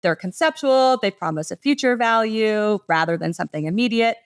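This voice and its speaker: A woman's voice: medium pitch, expressive, a little fast, smooth. A woman giving a conversational business presentation as a podcast panelist, explaining things with enthusiasm in casual phrasing.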